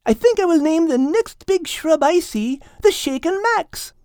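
Man as a high-voiced, affectionate lady dog. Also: animated, unhurried, a little mellow, no echo, clean studio sound. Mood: fond, playful. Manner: fond, teasing. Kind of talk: audiobook character acting.

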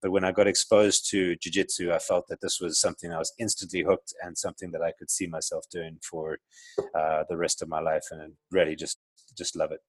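Low-pitched South African man, middle-aged, reflective podcast guest, dry close sound. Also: level tone, a little fast, husky, no echo, low-quality recording. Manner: reflective, many restarts and fillers. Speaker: guest, entrepreneur. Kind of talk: casual interview conversation.

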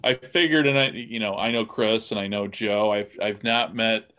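A man's voice: fairly low pitch, steady tone, normal speed, bright, forceful tone, soft background music. A man hosting a podcast and chatting casually as he interviews guests. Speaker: podcast host interviewing producers. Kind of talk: casual conversation.

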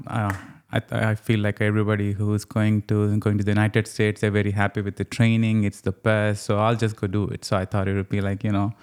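A man's voice: lowish voice, darkish tone, clean tone. A man from South India with an Indian accent, a cardiologist chatting casually as a podcast guest. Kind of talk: casual conversational storytelling.

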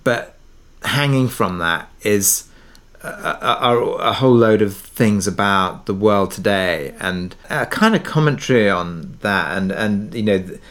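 A man's voice: on the low side, a little slow, fairly bright tone. A middle-aged British man talking reflectively as an interview guest, hesitant and thoughtful, often circling back to rephrase. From Britain, partly raised abroad in Kuwait. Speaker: interview guest, audio drama creator.

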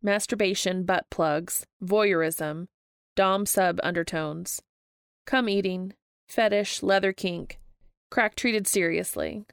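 A woman's voice: fairly low pitch, takes it slowly, gravelly, smooth, no room sound. A woman reading scripted fiction as a podcast host and narrator.

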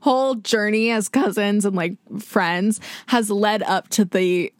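A young woman co-hosting a podcast in casual chat, talking fast and chattily with lots of fillers and laughs. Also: medium pitch, steady tone, no room sound, studio quality. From United States. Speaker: podcast co-host, makeup artist.

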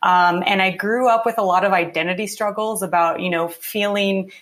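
A young woman from California talks through her own story as a podcast guest. Her tone is reflective and unhurried, and she often pauses on fillers and restarts her sentences. Her voice sits at a middle pitch. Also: steady tone, fairly bright tone. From California, USA. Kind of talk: casual interview.

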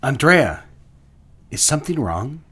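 A man playing a busy, patronizing businessman and sweet-talking lover in a period audio drama, smooth-talking, controlling and easily impatient. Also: mid-range voice, sing-song, a little slow, a bit bright, slight background noise. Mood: impatient, placating.

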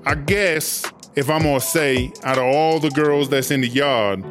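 A man's voice: on the high side, unhurried, lightly acted. A man playing a swaggering, streetwise female prison inmate in a comedy audio drama, cocky, slangy and offhand. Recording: loud music under the voice.

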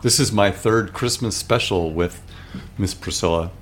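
Male radio producer, deep voice, halting casual talk, faint noise behind. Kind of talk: casual, halting self-introduction.

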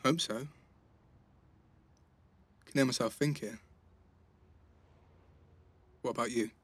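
Give the part to a cheeky teenage boy in an audio drama. His voice is mid-pitched and a bit husky, and he talks in guarded, slangy banter. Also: takes it slowly. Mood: wistful, casual. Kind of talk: naturalistic audio drama dialogue.

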